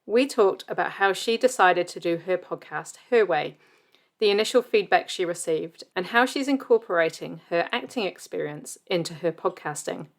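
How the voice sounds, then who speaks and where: A woman hosting a podcast who reads a scripted intro and then welcomes and questions her guest in a friendly way. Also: fairly low pitch. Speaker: podcast host.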